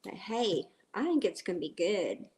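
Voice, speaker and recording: A middle-aged woman with a raspy voice from a cold, chatting in a rambling, easygoing way as she hosts a cooking livestream and answers viewers. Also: medium pitch, big pitch swings, normal speed, darkish tone. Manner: chatty and rambling, reads viewer comments aloud. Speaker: livestream host. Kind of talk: casual cooking livestream chat.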